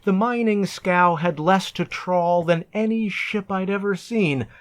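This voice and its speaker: A man reciting narrative poetry in a deliberate, measured, theatrical way, as a poet reading his own work. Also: sits high.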